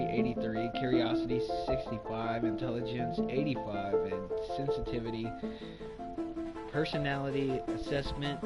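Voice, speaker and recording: A man doing casual live-stream game commentary, rambling and repeating himself. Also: medium pitch. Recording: loud music behind. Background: game music.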